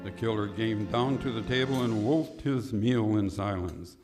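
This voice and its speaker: A man's voice: on the low side, measured pace, music in the background, natural voice. A man playing a dramatic, declamatory announcer narrating a 1950s-style Western radio serial. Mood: suspenseful.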